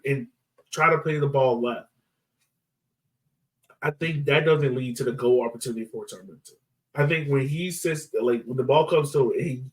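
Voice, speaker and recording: Slightly distant man talking sports casually. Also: on the high side. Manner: repeats and rephrases himself as he thinks aloud. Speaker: sports podcast co-host. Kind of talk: casual soccer match discussion.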